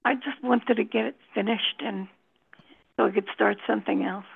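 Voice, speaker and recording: A woman in a book group sharing her thoughts on a novel, slow and hesitant with many pauses. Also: mid-range voice, steady tone, gravelly, husky. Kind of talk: casual discussion. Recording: tinny phone line.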